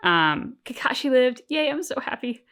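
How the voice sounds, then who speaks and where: A woman's voice: high voice, very animated, normal speed, a bit bright. A woman co-hosting a reaction channel, talking casually and thoughtfully as she searches for words.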